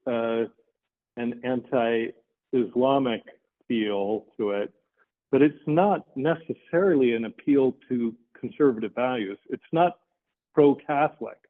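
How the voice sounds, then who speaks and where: A man speaking as a panelist on a video call, measured and hesitant, pausing often to think. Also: mid-range voice. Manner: measured, hesitant, pauses with many fillers. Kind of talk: considered panel commentary. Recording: tinny phone-like call audio.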